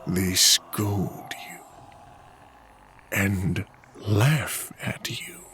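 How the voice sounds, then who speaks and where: A man playing a sinister, seductive creature in an audio drama, coaxing in a creaky voice that often sinks to a whisper. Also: low-pitched, pushed and bright, slight background noise, full character voice. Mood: bitter, menacing. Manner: slow, insinuating, coaxing. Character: sinister, seductive tendrilled creature posing as a friend.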